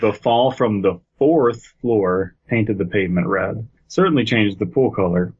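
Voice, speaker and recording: A man's voice: fairly low pitch, a little slow, darkish tone, husky. A man co-hosting a podcast, chatting casually and reading a story aloud. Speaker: podcast co-host. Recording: slightly dull, lo-fi sound.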